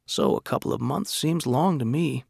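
A calm, wise older father who speaks quietly and steadily, voiced by a man narrating a story. His voice is gravelly and mid-pitched, recorded close and dry. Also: normal speed, no room sound. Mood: gentle.

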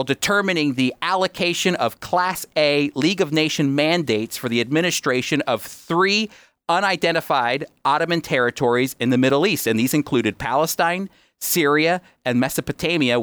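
A man's voice: fairly high pitch, fairly bright tone, no echo. A man presenting an explanatory lesson, speaking emphatically and directly to his listeners. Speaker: presenter giving a history lesson. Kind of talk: explanatory teaching talk, semi-scripted.

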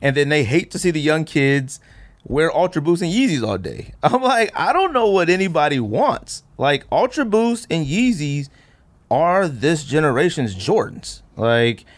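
A man's voice: fairly high pitch, sing-song, unhurried. A middle-aged American man hosting a podcast, talking casually in a conversational, slangy way. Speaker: podcast host. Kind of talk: casual chat.

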